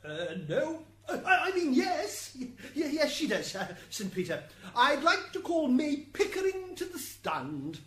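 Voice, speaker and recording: A woman's voice: high voice, big pitch swings, measured pace, hardly any pauses, fairly bright tone, heavily acted. A woman playing a courteous, slightly flustered and wry defence lawyer in a radio drama. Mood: flustered, polite. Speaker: defence advocate.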